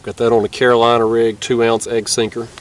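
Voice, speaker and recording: A man's voice: medium pitch, little pitch movement, fairly quick. A young man, nasal from a cold, chatting casually as he narrates a night fishing trip from a kayak on a river. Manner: laid-back, chatty, drawling. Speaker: fishing vlogger. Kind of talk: casual outdoor vlog narration. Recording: distant camera mic outdoors, faint noise.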